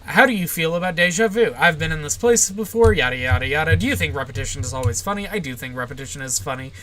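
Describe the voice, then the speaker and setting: Bright, deadpan young streamer woman. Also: sits low, fast talker. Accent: general American. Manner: short, deadpan answers with frequent "uh" and "like". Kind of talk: casual livestream Q&A, answering chat.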